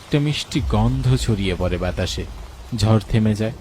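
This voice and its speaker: A man's voice: lowish voice, expressive, normal speed, a little mellow, slight background noise. A man narrating a scripted horror story as its storyteller.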